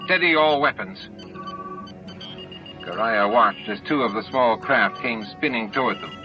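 Male narrator, somewhat deep, reading dramatic audiobook prose; muffled books-on-tape quality, music underneath. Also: moderate pace.

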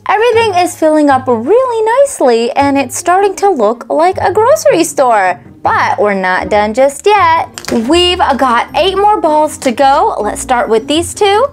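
A woman's voice: sits high, sing-song, measured pace, few pauses. A woman narrating a toy unboxing in a cheerful, sing-song voice-over, naming each item as she stocks a miniature store.

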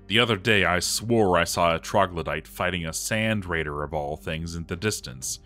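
Brief: male performer as a doomed dwarf armorer, very low voice, grave and theatrical, journal drama over background music. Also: normal speed, pushed and bright. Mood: bleak despair.

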